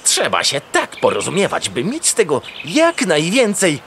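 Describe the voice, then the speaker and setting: Dim but canny dog, grumbling, drawn-out words, wildly swinging pitch, noisy background, children's radio play. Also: male voice, huge pitch swings, exaggerated voice. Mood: wary, bargaining. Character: slow-witted, wary but shrewdly bargaining farmyard male dog. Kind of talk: children's audio drama acting.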